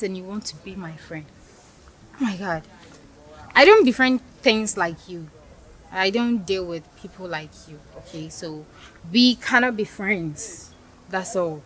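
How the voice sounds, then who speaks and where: An actress playing a rude, snobbish young woman who mocks and shouts with contempt. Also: mid-range voice, close to an everyday voice, low-quality recording. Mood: scornful. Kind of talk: scripted skit acting.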